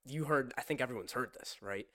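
A young man co-hosting a podcast in casual chat, slightly hoarse from a sore throat, joking and quizzing his co-host. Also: medium pitch, fairly quick, bright, forceful tone, no echo, clean studio sound. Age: young adult. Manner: chatty, joking, asks his co-host questions.

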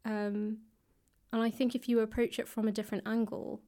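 A woman's voice: a little high, little pitch movement, moderate pace, warm tone. Thoughtful woman explaining in conversation. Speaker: interview guest, author and actor. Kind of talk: conversational interview answers.

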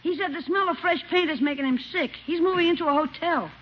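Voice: very high voice, fast talker. An actor playing a cheeky, wisecracking young boy with blunt, slangy talk in a 1950s radio comedy. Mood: needling. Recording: muffled, noisy vintage radio recording.

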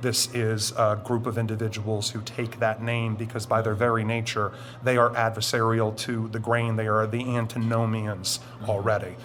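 A middle-aged man with a deep voice, answering interview questions in a deliberate, explanatory way with drawn-out emphatic phrases. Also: little pitch movement, a bit bright. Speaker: interview guest.

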